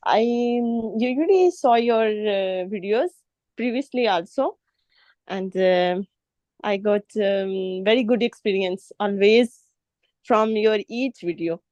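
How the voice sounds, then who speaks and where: A woman from Pakistan who works as a nurse, politely giving feedback in an online class, pausing often with fillers and addressing the teacher as sir. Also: medium pitch, darkish tone, no echo. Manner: polite, deferential, many fillers. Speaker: nurse and student. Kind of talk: class feedback on a video call.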